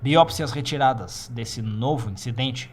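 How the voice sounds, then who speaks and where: A man's voice: medium pitch, unhurried. A man reading scripted narration in the style of a formal incident report.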